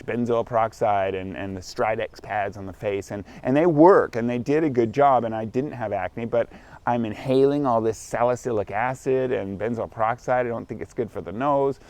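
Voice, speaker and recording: A man's voice: mid-range voice, darkish tone, dry recording. A man in his forties, vlogging outdoors in morning sunshine, talking candidly in a rambling, self-correcting way. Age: 40s. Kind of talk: casual self-recorded vlog.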